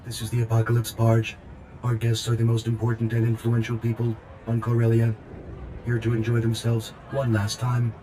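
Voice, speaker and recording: A man's voice: mid-range voice, completely flat delivery, normal speed, dark tone, slight background noise, lightly acted. A man playing a polite, formal and impassive party attendant in a video game. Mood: courteous, impassive. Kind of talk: video game dramatic acting.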